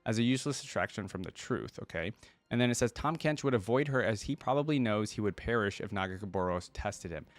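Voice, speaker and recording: Male reaction host, lowish voice, dry close sound, conversational read-and-comment delivery that thinks out loud. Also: expressive, quick pace, no echo. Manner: conversational, thinking aloud, musing. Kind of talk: casual reaction commentary, reading aloud and commenting.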